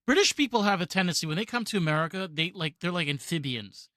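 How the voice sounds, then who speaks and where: A man's voice: sits high, expressive, quick pace, bright, forceful tone, dry recording, studio quality. A man with a New York accent hosting a podcast interview, blunt and opinionated. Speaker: podcast host. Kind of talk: casual interview chat.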